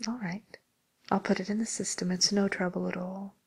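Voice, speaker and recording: A woman playing a polite, tactful matchmaker for villains in an ASMR roleplay, with a soft, whispery voice and hesitant, courteous service patter. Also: medium pitch, level tone, normal speed, gravelly, breathy edge. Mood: helpful, courteous.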